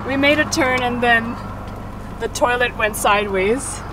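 A woman's voice: on the high side, a little slow, distant mic, noisy background. A woman chatting casually as a travel vlogger, filming a camping road trip. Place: in a car and outdoors on a road trip. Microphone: camera mic, behind the camera. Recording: loud music, off-mic camera audio in car and outdoors. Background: music, vehicle sounds.

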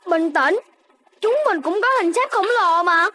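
A woman's voice: very high-pitched, lively intonation, measured pace, pushed and bright, a little hiss or hum, exaggerated voice. A woman with a Southern Vietnamese accent voicing a spirited, boastful, eager little boy animal in a children's cartoon. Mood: battle excitement.

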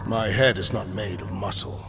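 An actor playing a huge, literal-minded alien warrior in a video game, proud and formal, with a gravelly voice. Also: male voice, lowish voice, normal speed. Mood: indignant. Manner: formal, declamatory, deadpan literal. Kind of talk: video game cutscene acting. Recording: loud music behind dialogue.

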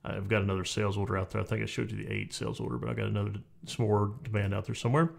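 A man in his forties giving a relaxed, conversational software walkthrough from a home office, with frequent fillers and restarts. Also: fairly low pitch, rapid-fire, gravelly. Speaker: software tutorial presenter. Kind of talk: casual screen-recorded software walkthrough.

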